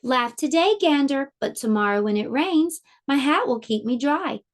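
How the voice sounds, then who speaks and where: A woman's voice: high-pitched, lively intonation, normal speed. A woman voicing a small, proud male hedgehog in a storybook read-aloud.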